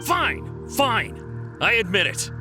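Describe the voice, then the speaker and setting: A man voicing a boastful, excitable thief and sore loser in an anime game, with a theatrical, exclamatory delivery. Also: high-pitched, slow, over music, full character voice. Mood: bitter, defeated.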